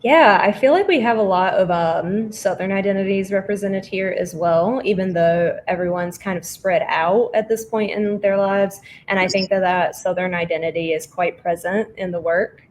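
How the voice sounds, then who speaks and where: A woman's voice: medium pitch, normal speed, hardly any pauses, a little hiss or hum. A woman hosting an online artists' panel, introducing guests in a conversational way over a video call.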